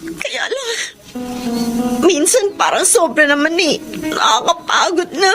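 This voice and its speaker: Actress: tired young breadwinner daughter, high and animated, scolding to tearful. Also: female voice, measured pace, music in the background, heavily acted. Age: young adult. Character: weary young woman, family breadwinner. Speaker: daughter who supports her family. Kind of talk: TV-style family drama acting.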